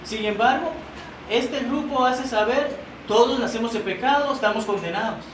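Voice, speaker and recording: A man's voice: very high voice, normal speed. A man preaching a sermon in a church, earnest and emphatic, reading quoted doctrine aloud and stumbling into restarts now and then. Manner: earnest, rising to emphatic repetition. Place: church sanctuary. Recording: roomy church sound, mic at a distance, noisy.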